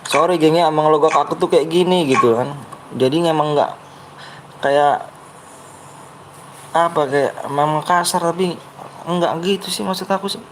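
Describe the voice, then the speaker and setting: A man's voice: on the high side, normal speed, long pauses, slight background noise, low-quality recording. A young man with a Betawi accent, live-streaming a game and chatting with his viewers in a blunt, brash way that he says sounds harsh, while he keeps urging them to like and subscribe. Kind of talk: live gaming stream chat.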